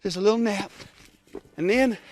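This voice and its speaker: An actor playing a stranded, cold and hungry survival-show star who talks with rough profanity. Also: male voice, extremely high pitch, fairly even intonation, normal speed, darkish tone. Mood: weary. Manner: profane, emotional confession to camera. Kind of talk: comedic monologue.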